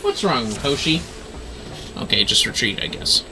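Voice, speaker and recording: A man's voice: medium pitch, very animated, unhurried, creaky, pushed and bright, faint music behind. A man livestreaming a video game, chatting casually and thinking aloud in muttered asides to himself. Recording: faint background noise.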